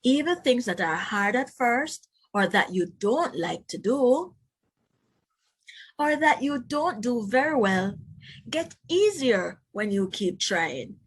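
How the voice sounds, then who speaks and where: A woman reading aloud as a teacher to young children, instructive and expressive, adding explanatory asides. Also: on the high side, slow, slightly rough. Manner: instructive, addresses young listeners directly, expressive emphasis.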